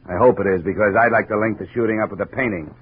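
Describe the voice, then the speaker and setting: An actor playing a tough, wry insurance investigator in a 1950s radio detective show, with dry, clipped speech. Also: male voice, fairly low pitch, fast, slight background noise, close to an everyday voice. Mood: probing, tough. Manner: dry, clipped, hard-boiled. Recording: muffled old radio recording, music behind.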